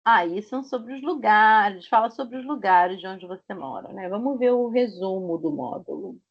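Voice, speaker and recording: A woman with a Rio de Janeiro accent teaching an online Portuguese class, drawing out her example words slowly. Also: medium pitch. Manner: slow, stretched-out example words. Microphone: video call. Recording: muffled video call audio.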